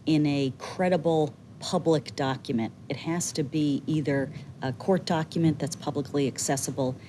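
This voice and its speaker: A woman's voice: deep voice, steady tone, moderate pace, background noise. A woman activist speaking earnestly in an interview, hesitating often with fillers.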